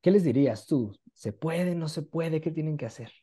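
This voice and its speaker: A man's voice: a little high, lively intonation, moderate pace, dark tone, breathy edge. A man in his twenties with a Mexican accent, interviewing casually over a video call in an encouraging, conversational way. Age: 20s. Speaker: interviewer, host. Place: small room at home, indoors. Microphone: video call with wired earphones.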